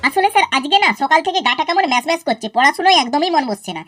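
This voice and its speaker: A woman voicing a cheeky, foul-mouthed young schoolboy in a cartoon, talking in a quick, sassy and crude way. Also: high-pitched, expressive, a bit bright, a little hiss or hum. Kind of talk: cartoon voice acting.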